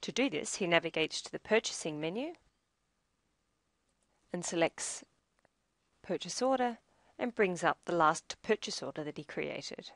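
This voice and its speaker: A woman narrating a scripted software tutorial as demo presenter, in a measured, explanatory way. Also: lowish voice, lively intonation, no room sound.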